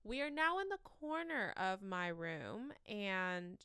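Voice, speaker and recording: A young woman hosting a casual solo podcast from the corner of her bedroom, with a shrill voice, rambling and self-mocking between fillers, sleepy. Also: big pitch swings, slow pace, pushed and bright, clean tone, no echo, studio quality. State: sleep-deprived. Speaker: podcast host.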